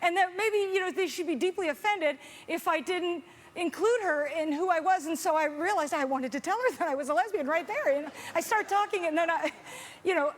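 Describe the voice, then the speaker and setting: A woman's voice: very high voice, brisk. A young woman from Canada with Hungarian as her first language, doing a chatty, self-deprecating stand-up set full of fillers and restarts on a festival stage. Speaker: stand-up comedian.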